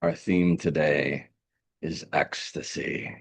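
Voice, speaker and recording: Very deep, husky man guiding meditation. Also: steady tone. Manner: gentle, deliberate, repeats phrases, long pauses. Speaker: meditation teacher. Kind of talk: live guided meditation. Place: live online video meetup.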